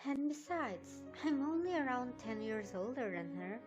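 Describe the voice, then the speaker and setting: A friendly, modest young pony mare from a fan cartoon audio drama, acted by a woman, with a fairly high voice. She speaks in an easy, unassuming way while music plays clearly behind. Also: expressive, unhurried, lo-fi sound. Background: background music.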